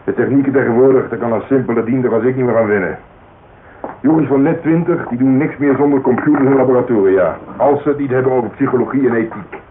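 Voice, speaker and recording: An actor playing a jaded, blunt, middle-aged old-school police detective in a radio drama, grumbling and needling. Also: male voice, medium pitch, quick pace, lightly acted. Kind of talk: naturalistic radio drama dialogue. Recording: tinny, noisy old radio recording.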